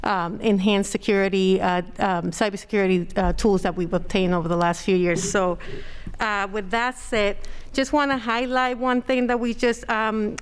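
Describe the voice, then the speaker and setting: A woman with a clear, smooth, resonant voice gives a technology report to a school board. She speaks at a middle pitch and pauses often with "um" and "uh". She stands a little back from the microphone in a meeting room. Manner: hesitant, many fillers; naturally loud voice. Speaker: district technology director. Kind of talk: presenting a report at a public meeting. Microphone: standing microphone, a little far off.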